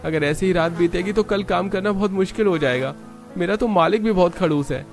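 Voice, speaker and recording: A man acts out a hard-up young husband in a cartoon family story, pitched up from his narrating voice. He sounds earnest, worried and apologetic, with music underneath. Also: a little fast, creaky. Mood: frustrated. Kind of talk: dramatized Hindi moral story cartoon.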